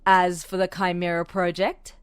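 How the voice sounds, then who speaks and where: A woman puts on an older army general for an audio story, his voice dropped low. He sounds stern and tired, giving orders with measured, gentle authority. The sound is close and dry. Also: little pitch movement, smooth, no echo, close to an everyday voice. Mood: weary authority. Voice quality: weary, gentle. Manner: measured, commanding.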